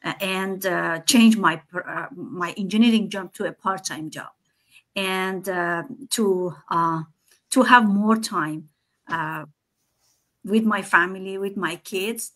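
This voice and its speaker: A woman co-host speaks on a casual livestream with an Afghan accent. Her pitch is medium for a woman. She talks haltingly, pausing often as she searches for the next phrase. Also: a bit bright. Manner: hesitant, halting delivery with frequent pauses. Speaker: co-host, engineer. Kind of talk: casual self-introduction on a livestream.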